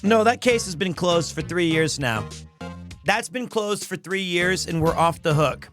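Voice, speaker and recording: Animated male live streamer. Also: high-pitched, unhurried. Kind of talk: live stream chat while voicing a game. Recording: game music under voice.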